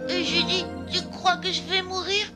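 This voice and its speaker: A woman's voice: very high-pitched, steady tone, moderate pace. A woman voicing a frightened, clingy sick little boy in a French-dubbed cartoon, pleading in a fragile way. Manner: pleading, tearful. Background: music.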